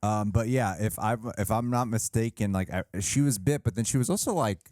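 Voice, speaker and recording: Low-voiced man, rambling casual commentary. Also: brisk, darkish tone, no echo, studio quality. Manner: rambling, profane, self-deprecating. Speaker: reaction show co-host. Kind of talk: casual reaction commentary and discussion.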